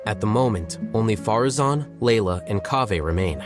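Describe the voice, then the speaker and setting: A man voicing an aloof young scholar in an anime game, deadpan, detached and coolly indifferent. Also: lowish voice, normal speed, over music, natural voice. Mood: matter-of-fact.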